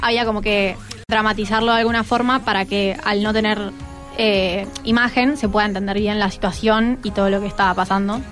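A woman's voice: medium pitch, steady tone, fairly quick, fairly bright tone, faint music behind. A woman speaking casually as a school guest in an interview.

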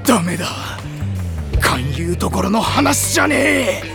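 A melodramatic teenage volleyball boy for an anime audio drama. His high, gravelly voice swings wildly, with bombastic delivery over prominent music. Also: animated, unhurried, full character voice. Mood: dejected, pleading.

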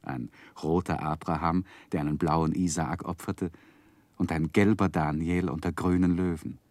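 A male narrator with a deep voice tells a literary story in a 1960s radio play. His delivery is measured and expressive. The recording is dry. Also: no echo.

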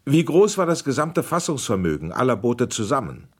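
A man's voice: medium pitch, big pitch swings, moderate pace, husky. An actor playing a persistent male senator who formally questions witnesses in a German documentary radio drama. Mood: probing, formal. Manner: probing, insistent, formal. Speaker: investigating questioner.

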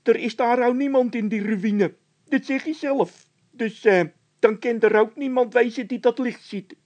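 A man voicing a squeaky, fretful sidekick in a children's audiobook, stubborn and anxious. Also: unhurried, darkish tone. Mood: insistent.

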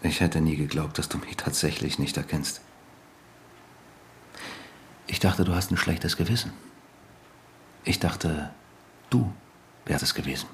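An actor playing a wounded, bitter husband returned after years away, speaking haltingly and with restraint in a radio drama. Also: male voice, a bass voice, a bit bright, slight background noise, heavily acted. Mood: hurt, subdued. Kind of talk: naturalistic radio drama dialogue.

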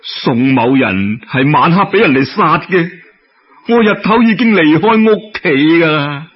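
Male storyteller as distressed Cantonese scholar: raised pitch, wailing and emphatic, slightly rough, dull recording. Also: animated, a little slow. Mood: desperate pleading. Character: wronged, desperate poor male scholar pleading innocence.

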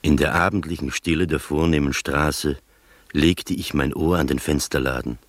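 An actor in a radio drama playing a skeptical gentleman who narrates his uncanny adventure in a measured, literary manner. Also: extremely low pitch, fairly even intonation, no room sound. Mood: measured, suspenseful.

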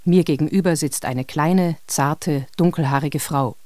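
A man's voice: fairly high pitch, measured pace, a little mellow, dry recording. A man reading scripted narration for a radio portrait feature.

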